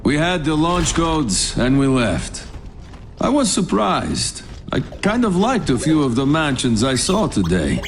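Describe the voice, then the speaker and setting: A man's voice: a little high, measured pace, a little hiss or hum, full character voice. An actor playing a dry, sardonic soldier, narrating his testimony in a video game cutscene. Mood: wry. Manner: deadpan, wry.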